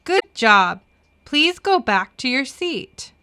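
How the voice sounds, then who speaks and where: Female language-lesson reader, slow and careful, crisp bright tone, children's material. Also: high voice, big pitch swings. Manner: carefully enunciated.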